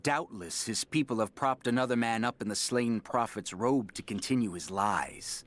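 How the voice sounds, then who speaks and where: An actor playing a solemn, loyal warrior in a video game, addressing his lord in a formal, measured, declamatory way. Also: mid-range voice, natural voice. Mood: solemn, insistent. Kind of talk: video game voice acting, dramatic monologue.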